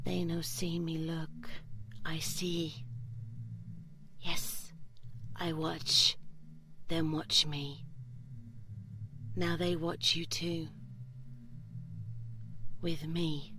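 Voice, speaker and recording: A woman voicing a seductive, curious slime creature in an intimate audio roleplay, speaking soft, halting broken English in short fragments, often whispering. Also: deep voice, flat delivery, background noise, heavily acted. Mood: hushed, wary.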